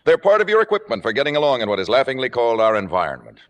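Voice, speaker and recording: A man's voice: mid-range voice, sing-song, racing, long reverb tail, close to an everyday voice. An actor playing a forthright, exasperated male lover with an emphatic, articulate delivery in a vintage radio drama. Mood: angry, exasperated. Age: 30s. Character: exasperated, forthright man scolding his lover. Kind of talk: 1940s-50s radio acting.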